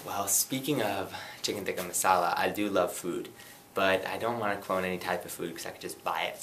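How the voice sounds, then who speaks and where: A young man in his twenties from Pakistan, a student candidate giving an earnest campaign pitch and then answering light interview questions. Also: fairly bright tone, slight background noise. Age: 20s. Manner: earnest, rapid and persuasive.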